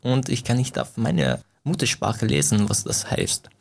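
Gravelly young man. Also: on the low side, very animated, slight background noise. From Iran. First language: Farsi. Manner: halting, searching for words, frequent restarts. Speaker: interviewee. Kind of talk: casual interview answers.

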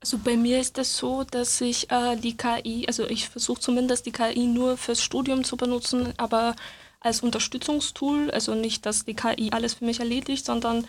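She is a young adult woman with a slightly high voice and little melodic movement. She talks casually about her own habits, as a student guest would. A faint noise sits in the recording. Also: level tone, a little fast. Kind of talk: casual personal account.

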